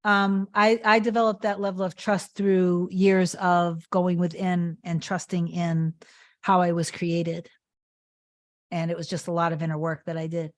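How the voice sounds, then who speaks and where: A woman's voice: lowish voice, little pitch movement, a little slow. A woman coaching on a live stream, answering chat comments in an earnest, emphatic way and often repeating herself. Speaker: manifestation coach.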